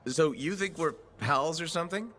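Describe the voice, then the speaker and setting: A man's voice: fairly high pitch, moderate pace, a bit bright, lightly acted. A man playing an easygoing, wry freelancer pilot in a sci-fi video game. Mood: friendly, wry. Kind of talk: video game dialogue acting.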